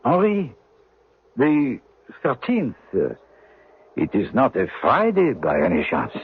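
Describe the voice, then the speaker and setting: An actor playing a loyal, gently wry French accomplice in an old-time radio drama. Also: male voice, mid-range voice, wildly swooping pitch, slow pace, full character voice. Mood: wry, concerned. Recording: dull, noisy old radio recording.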